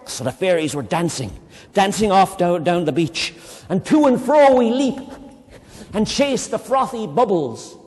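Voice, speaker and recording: An Irish man reading a poem aloud to children, reciting slowly and dramatically and breaking off into playful, chatty explanations. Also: high-pitched, lively intonation, darkish tone. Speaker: poetry reader leading children. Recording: long reverb in a hall.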